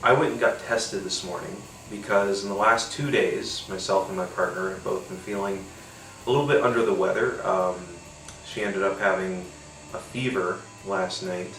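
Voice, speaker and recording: A man's voice: deep voice, moderate pace, fairly bright tone, roomy sound, far from the mic, faint music behind, rough recording. A man with a congested, nasal voice from a cold, rambling casually with lots of fillers and casual swearing while he tastes a beer. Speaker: podcast host. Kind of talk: casual solo beer-tasting chat.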